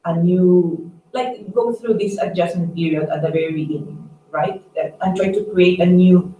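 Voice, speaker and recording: Woman, doctor, halting advisory talk, frequent check-in tags, echoey room, conference-call setting. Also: fairly low pitch, steady tone, dark tone, highs cut off. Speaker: staff doctor. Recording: roomy, distant mic, noisy conference call.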